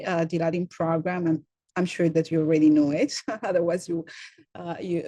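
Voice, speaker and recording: Middle-aged woman, Italian accent, lowish voice, many "uh" fillers, dry close sound. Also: a little mellow, dry recording. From Italy. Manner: frequent "uh" fillers, searching for words. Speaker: program director. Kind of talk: spoken welcome talk. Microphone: computer mic on a video call.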